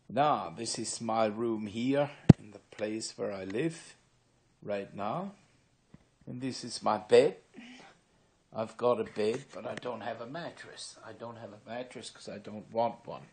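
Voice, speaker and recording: A man of sixty with a Pennsylvania Dutch accent, vlogging in a rambling, unhurried way with many filler pauses, recorded on a handheld phone in his room. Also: fairly low pitch, a little mellow, slight background noise. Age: 60s. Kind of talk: casual walk-through monologue. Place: his own room indoors. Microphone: phone camera held by speaker.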